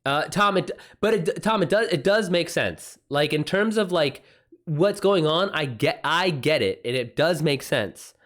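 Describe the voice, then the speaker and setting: A man's voice: fairly high pitch, lively intonation, unhurried, a bit bright. A man hosting a livestream about writing, chatting casually and unscripted, thinking aloud with informal slang. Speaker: livestream host and author giving writing advice.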